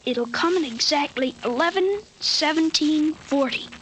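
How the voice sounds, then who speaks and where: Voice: high voice, a little slow, fairly bright tone. An actor playing a precociously serious thirteen-year-old boy in a 1950s radio drama, speaking in an earnest, articulate, matter-of-fact way. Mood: matter-of-fact certainty. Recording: noisy old radio recording.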